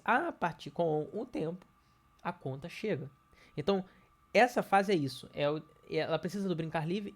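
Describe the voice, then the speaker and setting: Nasal man with a cold. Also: on the high side. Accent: Brazilian Portuguese. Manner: conversational, many fillers and restarts. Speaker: educator. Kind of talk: casual educational talk to parents.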